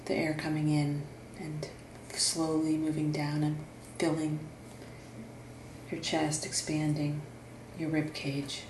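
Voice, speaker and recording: A woman guiding a meditation in a soothing, gentle instructional manner, leaving long pauses between phrases. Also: deep voice, completely flat delivery. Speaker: meditation guide and therapist. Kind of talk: guided meditation narration. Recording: roomy echo, faint background noise.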